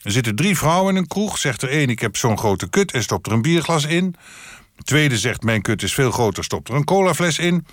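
A male actor as a corporate board chairman in a radio satire interview. He is earnest, pedantic and businesslike, with a lively, swinging intonation and a mid-range pitch. The sound is dry and close. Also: no echo, lightly acted. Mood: deadpan joking. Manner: formal, businesslike, measured.

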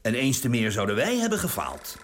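An actor playing a cynical government minister in exile, sarcastic and conspiratorial in a radio drama. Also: male voice, mid-range voice, huge pitch swings, a little fast, fairly bright tone. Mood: scheming. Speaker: cabinet minister in wartime exile.

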